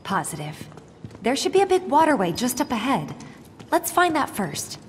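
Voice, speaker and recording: Lively, confident young resistance woman. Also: sits high, moderate pace. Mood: assured. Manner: assured, matter-of-fact. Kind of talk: video game voice acting. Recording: echoey tunnel reverb, faint noise.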